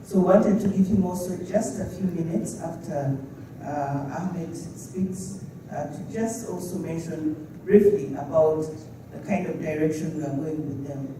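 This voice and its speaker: A man's voice: fairly high pitch, a little slow. A man moderating a trade summit, politely introducing the next speakers with frequent fillers. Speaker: event moderator. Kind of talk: conference announcement. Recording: echoey hall, distant mic, faint noise.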